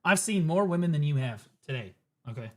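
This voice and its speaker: Bright high-voiced male streamer ranting. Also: animated, brisk. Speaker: streamer reacting to clips. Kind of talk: casual ranting commentary.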